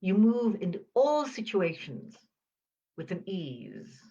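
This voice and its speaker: Older woman, crisp upper-crust British accent, unhurried. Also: medium pitch, big pitch swings, warm tone, muffled recording. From Uganda. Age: 70s. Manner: crisp enunciation, measured phrasing with deliberate pauses. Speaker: podcast host. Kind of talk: reflective personal storytelling monologue.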